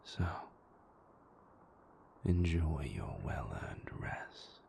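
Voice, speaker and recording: Man as a low, whispering, brooding vampire. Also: big pitch swings, vocal fry, a little mellow, heavily acted. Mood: hushed, soothing. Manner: formal, measured, dour phrasing. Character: moody, brooding male vampire lover, possessive yet tender. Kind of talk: intimate whispered roleplay audio drama.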